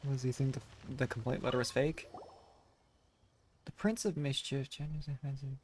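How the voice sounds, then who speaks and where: Low-voiced woman, casual gamer. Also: steady tone, normal speed, darkish tone, a little hiss or hum. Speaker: gameplay streamer. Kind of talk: casual gameplay commentary. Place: indoors, over game audio. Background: faint game music.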